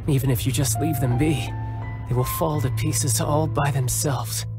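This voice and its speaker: An actor playing a cocky yet caring young warrior and protective older brother, injured and weakened, with a smooth, self-assured, playful way of talking. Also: male voice, mid-range voice, fairly even intonation, moderate pace, natural voice. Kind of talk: video game cutscene voice acting. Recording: game cutscene with music behind.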